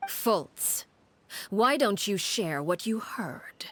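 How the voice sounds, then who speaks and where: A woman voicing a cold, commanding, menacing head of a house in a video game, formal and measured. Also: medium pitch, expressive, fairly bright tone, slight background noise. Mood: stern, commanding.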